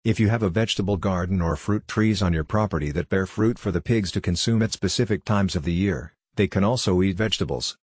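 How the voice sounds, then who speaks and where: Deep, husky male voice-over narrator. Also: a little fast, few pauses, no room sound, clean studio sound.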